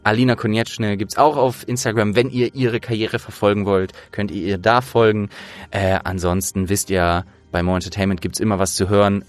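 A man hosting a podcast in a casual, chatty way, feeling for his words as he goes. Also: sits low. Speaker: podcast host and producer.

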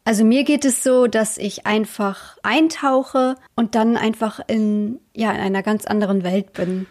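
A woman's voice: fairly high pitch, fairly even intonation, a little slow, a little mellow. A woman giving a casual interview as a guest, speaking enthusiastically while feeling her way through her phrases.

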